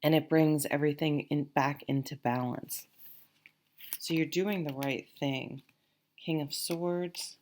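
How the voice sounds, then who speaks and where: A woman's voice: low voice, fairly even intonation, slow pace, a little mellow, a little hiss or hum. A woman giving a casual tarot reading, a bit nasal and sniffly from allergies, rambling and reassuring. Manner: rambling, reassuring, many restarts and fillers. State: sniffly, stuffed-up. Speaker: tarot reader.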